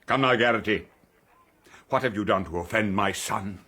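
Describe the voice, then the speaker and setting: An actor playing a weak, fond middle-aged lord and father, with a husky voice and a mild, hesitant manner in a radio drama. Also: on the low side, normal speed, bright, forceful tone.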